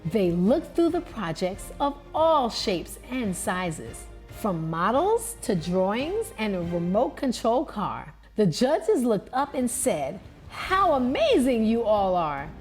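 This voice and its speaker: A woman from New York hosting a read-aloud, narrating a children's storybook in a deliberate, expressive way. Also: medium pitch, soft background music.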